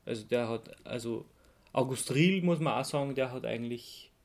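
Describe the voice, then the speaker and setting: An Austrian podcast co-host talks in a casual, conversational register. The delivery is explanatory, at a middling pitch, like informal discussion between fans. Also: moderate pace, a little mellow. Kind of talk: casual chat about radio plays.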